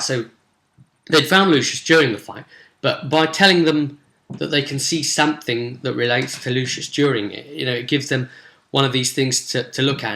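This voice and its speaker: A man with a bright, forward voice and a mid-range pitch gives a casual game master's recap. He explains things conversationally and rambles a little. The sound is roomy and echoey. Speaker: game master recapping tabletop role-play sessions. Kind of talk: casual spoken recap and commentary.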